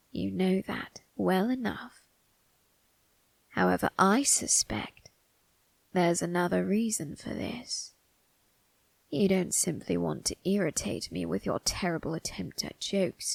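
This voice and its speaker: A woman voicing an ancient male undertaker in an ASMR roleplay, sly and teasing, with a breathy, husky, creaking voice and formal phrasing. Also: on the low side, sing-song, no room sound, full character voice. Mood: dry, suspicious. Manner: slow, formal, teasing delivery with drawn-out tag questions.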